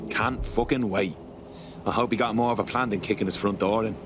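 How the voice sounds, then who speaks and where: A man's voice: fairly low pitch, fairly even intonation, a little fast, noisy background, natural voice. A man playing a sceptical, blunt resistance recruit in a video game cutscene, speaking with sarcasm. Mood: sarcastic.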